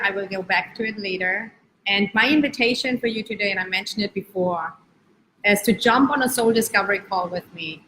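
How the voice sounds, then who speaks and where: A woman from Spain, raised in Germany, giving an earnest, encouraging motivational talk as a life coach, slowing down to stretch key words. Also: medium pitch, fairly bright tone, a little hiss or hum, low-quality recording. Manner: earnest, encouraging, uneven pace with drawn-out words. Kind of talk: live-streamed motivational talk.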